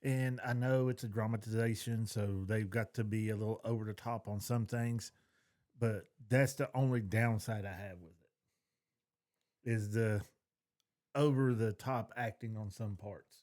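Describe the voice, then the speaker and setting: A man's voice: on the low side, steady tone, a little slow, darkish tone. A middle-aged man, co-hosting a podcast in casual chat, giving opinions in a plain-spoken, folksy way. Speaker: podcast co-host.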